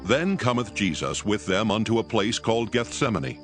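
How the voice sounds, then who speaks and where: A man with a very low voice narrates scripture from a script. Prominent music runs behind his reading. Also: very animated, normal speed.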